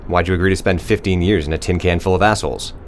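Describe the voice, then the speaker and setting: A man's voice: sits low, steady tone, fast, a little hiss or hum. An actor playing a bitter, injured crewman who talks bluntly and swears a lot. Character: bitter injured male crewman, foul-mouthed.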